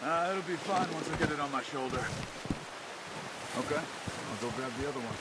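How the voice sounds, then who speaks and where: A man playing a calm, cautious hunter in an outlaw gang for a video game, speaking in a measured way. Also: on the high side, very noisy, close to an everyday voice. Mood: practical, focused. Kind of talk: naturalistic video game acting. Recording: loud music behind the voice. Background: music.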